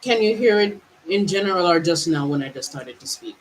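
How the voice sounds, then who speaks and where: A woman from Jamaica, a spiritual vlogger, musing aloud to her livestream viewers in halting phrases, with many restarts and drawn-out words. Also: lowish voice, animated, poor audio. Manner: halting, with frequent restarts and stretched, slowed phrases. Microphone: camera mic.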